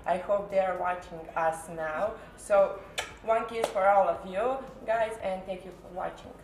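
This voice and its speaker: A woman with halting non-native English, chatting casually on a pageant live stream. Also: medium pitch. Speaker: pageant contestant reading fan questions. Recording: echoey room with faint noise.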